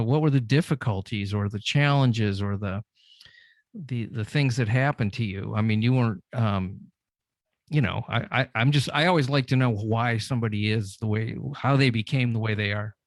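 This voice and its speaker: A man hosting a podcast, asking long, halting questions with lots of fillers. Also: on the low side, no room sound. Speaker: podcast host. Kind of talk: interview questions.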